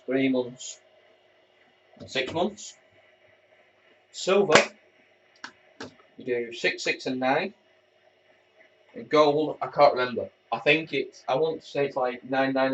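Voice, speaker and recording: A teenage cadet corporal chatting candidly to camera at home, rambling and swearing now and then. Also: male voice, mid-range voice, slow pace, pauses often, a little hiss or hum. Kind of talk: casual talk to camera. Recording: distant, muffled mic.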